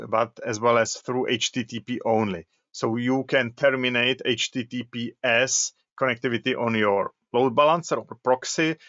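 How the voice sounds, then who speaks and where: A man presenting a webinar, walking through a software demo and often repeating words as he goes. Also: medium pitch, no room sound. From Czech Republic. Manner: halting, repeats words while demonstrating. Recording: dull lo-fi webinar audio.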